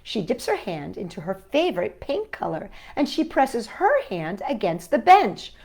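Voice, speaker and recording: A woman hosting a children's storytime, reading a picture book aloud and chatting gently with young viewers, asking them questions. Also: mid-range voice, wildly swooping pitch, moderate pace, gravelly.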